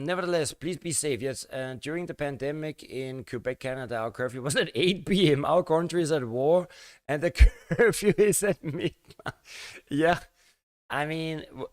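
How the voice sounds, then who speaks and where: An older man from Denmark, rambling and halting through a casual live-stream chat with his viewers. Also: mid-range voice, no echo, clean studio sound. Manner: halting and rambling, many fillers and restarts.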